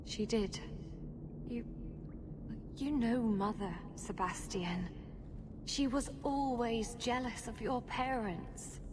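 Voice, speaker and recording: A woman's voice: a little high, noisy background. Formal, contrite noblewoman. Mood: regretful. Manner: formal, measured. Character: proud, contrite noblewoman making amends. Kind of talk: video game cutscene acting.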